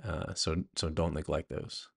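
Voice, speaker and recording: An American man hosting a podcast in casual, unscripted talk, with halting, hesitant phrasing. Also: a bass voice, level tone, gravelly, breathy edge. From United States. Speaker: podcast host.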